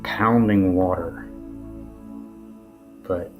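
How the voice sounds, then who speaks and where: A man giving casual, unscripted commentary in a musing, halting way, often posing rhetorical questions. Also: sits low, steady tone. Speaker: video narrator. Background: new-age music.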